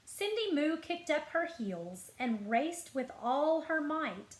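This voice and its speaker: A woman reads a children's story aloud in a fairly high voice. She takes her time, phrasing each line deliberately and expressively, as a librarian does for young listeners. The room adds a noticeable echo. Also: sing-song. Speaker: school librarian. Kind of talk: picture-book read-aloud to children. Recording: roomy echo.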